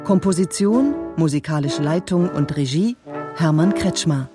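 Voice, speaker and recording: A woman radio announcer reading scripted credits in a measured, precise manner. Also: low voice, expressive. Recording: radio production with music behind. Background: instrumental music.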